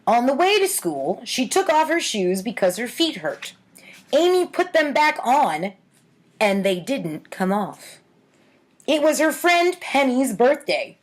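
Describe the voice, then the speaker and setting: An adult woman hosting a storytime, reading in a tongue-in-cheek manner with casual asides. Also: high-pitched. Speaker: host of a storytime series reading own childhood writing.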